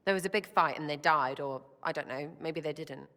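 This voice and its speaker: Bright, cheeky street thief. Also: female voice, sits low, fairly quick, big-room sound. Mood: casual, cheeky. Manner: blunt, slangy, clipped phrasing. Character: cheeky, scrappy young woman thief, irreverent and streetwise. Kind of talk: video game character acting.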